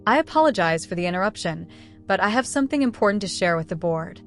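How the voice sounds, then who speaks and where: A woman's voice: medium pitch, expressive, quick pace, faint music behind, close to an everyday voice. Composed, assertive businesswoman, voiced by a woman narrator. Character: composed, determined young businesswoman confronting her bosses.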